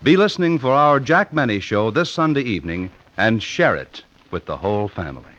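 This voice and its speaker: A man's voice: on the low side, big pitch swings, a little fast, background noise. A man reading scripted announcements in the polished, formal style of a 1950s radio announcer. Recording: muffled old radio recording.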